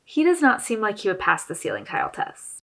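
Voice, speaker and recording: A woman's voice: mid-range voice, huge pitch swings, fairly quick, fairly bright tone. A woman hosting a reaction video, giving casual, opinionated commentary on a TV show in a conversational way.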